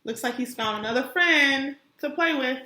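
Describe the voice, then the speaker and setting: A woman with a high, bright voice reads to kindergarteners in a roomy, echoing space. She takes her time, expressive and encouraging, and breaks off to put questions to her young listeners. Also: moderate pace. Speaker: kindergarten teacher. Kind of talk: read-aloud storytelling for young children with questions to the listeners. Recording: roomy, echoey sound.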